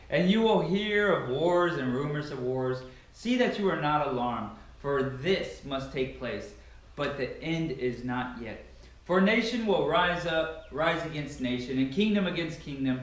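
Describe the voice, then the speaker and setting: A young man giving a dramatic, emphatic reading of scripture, declaiming with stressed phrases. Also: fairly high pitch. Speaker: Bible reader. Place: bare carpeted living room indoors. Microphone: far camera. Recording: very echoey empty room, distant microphone.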